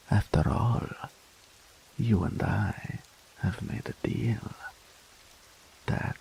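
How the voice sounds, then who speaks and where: A man voicing a doting, possessive male incubus demon in an ASMR roleplay audio, speaking softly and slowly in a whispery, pampering way. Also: sits low, vocal fry, slight background noise, exaggerated voice, low-quality recording. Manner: soft, slow, whispery and pampering.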